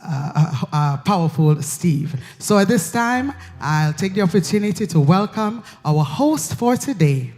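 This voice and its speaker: A man co-hosting a faith show, giving a formal introduction slowly and with many hesitant pauses. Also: high-pitched, expressive, a little mellow, big-room sound. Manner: slow, halting, many "uh" pauses. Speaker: co-host introducing the host.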